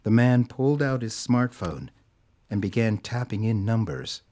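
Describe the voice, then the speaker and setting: A man narrating a scripted audio drama as a measured, literary storyteller. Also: lowish voice, animated, mellow.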